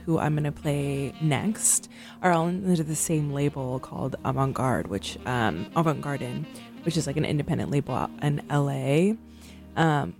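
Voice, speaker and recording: A woman's voice: low-pitched, a little mellow. A woman in her twenties hosting a radio music show, chatting casually between songs with many ums and likes. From San Francisco. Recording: faint music behind.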